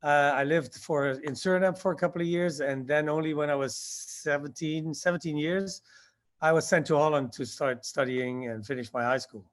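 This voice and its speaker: A man's voice: a little high, fairly even intonation, normal speed. Older man reminiscing with many fillers. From Indonesia. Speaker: guest, skydiving instructor. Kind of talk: interview answers.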